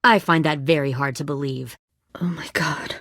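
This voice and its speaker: Menacing woman survivor leader, deep female voice, cold, deliberate, coercive, zombie game drama, dry studio recording. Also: steady tone, a bit bright, no room sound. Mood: guarded, reflective. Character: hardened, menacing woman leader of apocalypse raiders. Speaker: video game voice actress. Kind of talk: dramatic video game acting.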